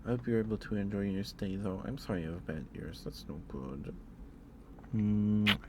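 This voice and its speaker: Low-pitched man, soft-spoken ASMR streamer. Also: lively intonation, normal speed, noisy background. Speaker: live streamer and host. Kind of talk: casual ASMR livestream chat.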